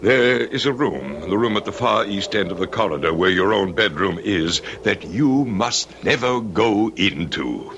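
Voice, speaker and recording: Courtly, portentous aristocrat of a cursed manor: forceful, very animated delivery, old-time radio mystery. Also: male voice, lowish voice, muffled recording. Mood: stern warning. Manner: portentous, courtly, deliberate in warnings. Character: grave, courtly English lord of a cursed manor. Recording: noisy old radio drama recording.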